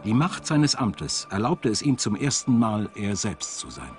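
A man reads scripted documentary narration in a measured, articulate, storytelling way. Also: on the low side, big pitch swings. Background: background music.

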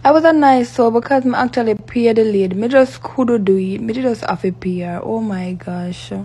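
A woman's voice: fairly high pitch, moderate pace, a little hiss or hum. A woman telling a comic nail salon story and acting out each person in it. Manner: animated, acts out each person. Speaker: storyteller in a clip. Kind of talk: comic storytime with put-on voices.

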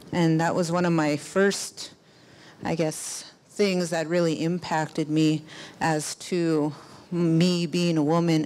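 A woman in her thirties speaking informally and reflectively as a panelist, in halting phrases into a handheld microphone. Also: deep voice, a little mellow. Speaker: panelist, filmmaker. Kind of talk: personal storytelling in a panel discussion. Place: stage of a cinema auditorium. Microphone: handheld microphone held close.

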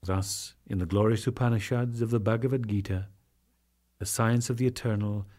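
A man narrating an audiobook of sacred scripture in a formal, solemn and measured dramatic reading. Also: fairly low pitch, steady tone, darkish tone.

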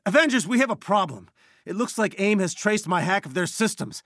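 A man's voice: medium pitch, moderate pace, dry recording, clean studio sound, lightly acted. A man playing an earnest scientist who leads a resistance, briefing a team in a businesslike, urgent way in a video game. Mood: urgent, strained. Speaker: game character giving mission briefings. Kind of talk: video game mission dialogue.

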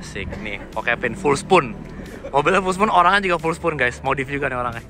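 Man, Jakarta Indonesian accent, mid-low voice, excited slangy car vlogger, loud music behind, outdoors. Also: fairly quick. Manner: enthusiastic, slangy with English car terms. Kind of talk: casual vlog commentary.

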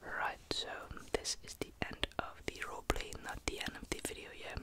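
A teenage man, whispering a rambling, casual chat into a close studio mic in a small bedroom. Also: normal speed. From Netherlands. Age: teens. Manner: casual, rambling, with many fillers. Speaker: ASMR YouTuber. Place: small bedroom with a sloped ceiling. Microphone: large studio mic close on the desk.

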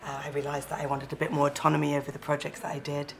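A woman's voice: deep voice, completely flat delivery, very fast, vocal fry, slight background noise. A woman, a film director giving thoughtful, earnest interview answers on a lapel mic. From London, England. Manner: thoughtful, earnest, with small restarts. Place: seated indoors in a room with a leather sofa.

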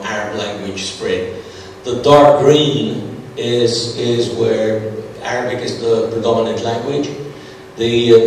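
A man's voice: on the low side, slight background noise. A middle-aged man answering questions after his conference talk, hesitant, with many fillers and restarts. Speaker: conference presenter. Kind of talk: conference Q&A discussion. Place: large hall with stage. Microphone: stage mic, recorded from far off. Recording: echoey hall from far off.

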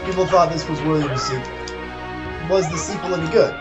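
A man's voice: on the high side, brisk. A man co-hosting casual gameplay banter, sweary, incredulous and sarcastic as he comments on a game. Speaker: gaming show co-host. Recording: game music behind the voice. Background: loud fighting game music.

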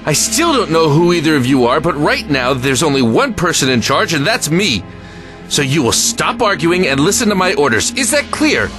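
An actor playing a stern army lieutenant taking charge in a wartime audio drama. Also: male voice, fairly high pitch, very animated, moderate pace. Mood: angry, commanding. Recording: music behind the voice.

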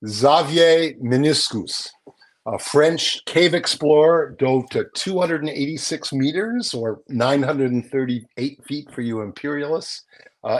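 A man's voice: fairly high pitch, low-quality recording. Chatty older man explaining on a video call. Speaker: magazine editor and guest presenter. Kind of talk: casual news rundown in conversation. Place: small home room with bookshelves, indoors. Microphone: video call, close to the screen.

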